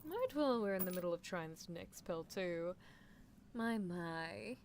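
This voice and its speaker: A woman's voice: fairly low pitch, animated, a little mellow, slight background noise. A woman voicing an eerie, playful mad-scientist horse girl in an ASMR roleplay, teasing and murmuring as she dotes on her test subject. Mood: musing, amused. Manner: teasing, unhurried, murmured musing with hums.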